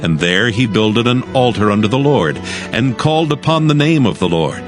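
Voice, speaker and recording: A man narrating scripture as a scripted dramatized audio reading, in a solemn, measured storytelling manner. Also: mid-range voice, animated. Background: music.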